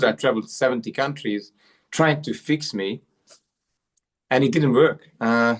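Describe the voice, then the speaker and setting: A man in his forties from Hungary, a coach telling his life story as an interview guest, with frequent fillers and repeated words. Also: medium pitch. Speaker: guest, self-worth coach.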